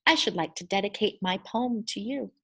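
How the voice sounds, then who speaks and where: A woman's voice: medium pitch, moderate pace, pushed and bright, breathy edge. A woman voicing a gracious, grateful picture-book poet in a courteous, formal and musing manner. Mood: grateful, wondering.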